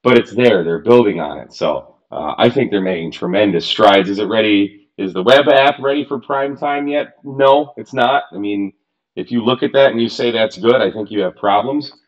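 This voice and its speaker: A man's voice: fairly low pitch, moderate pace, lo-fi sound. A man in his thirties walking through a radio programming demo in a casual, frank way, with exasperated asides, recorded at a home workbench. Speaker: radio gear reviewer. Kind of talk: casual screen-recorded tutorial.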